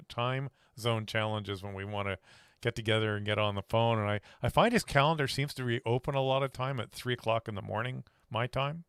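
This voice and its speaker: A man's voice: lowish voice, a bit bright, clean tone, no echo, clean studio sound. A man hosting an interview in a casual, conversational way. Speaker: interview host.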